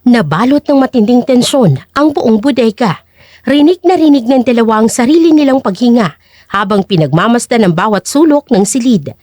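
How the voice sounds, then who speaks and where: High, lively woman story narrator. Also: moderate pace, dry recording, clean studio sound. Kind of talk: dramatic scripted story narration.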